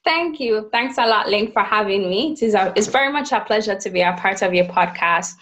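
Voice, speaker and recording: A woman's voice: medium pitch, expressive, brisk. A woman from Jamaica, a business leader, talking as a podcast guest with many fillers and restarts. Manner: warm, with frequent fillers and repeated starts. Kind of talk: conversational interview.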